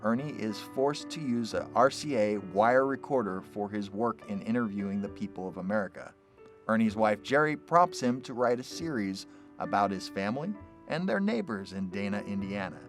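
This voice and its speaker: Low-voiced male announcer over guitar music. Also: expressive, moderate pace. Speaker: program announcer. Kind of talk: scripted broadcast introduction. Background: faint guitar music.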